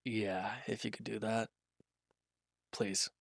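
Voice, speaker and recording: A man playing an injured, weary villain in a roleplay audio drama, guarded and quietly considerate, speaking in halting, hesitant lines. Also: level tone, vocal fry, no echo, clean studio sound, exaggerated voice. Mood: weary, reluctant. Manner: hesitant, halting, low-key, grudging. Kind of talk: roleplay audio drama, intimate one-sided dialogue.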